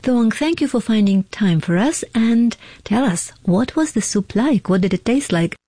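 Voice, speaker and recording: Mellow female radio host, close and dry. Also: a little high, expressive, moderate pace, slightly rough, no echo. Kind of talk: scripted radio introduction and interview.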